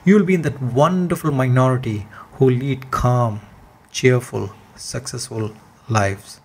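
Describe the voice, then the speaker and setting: A man with a mid-pitched, noticeably husky voice reads a scripted motivational text. He is earnest and deliberate, leaning on key words for emphasis as he delivers the message. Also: darkish tone.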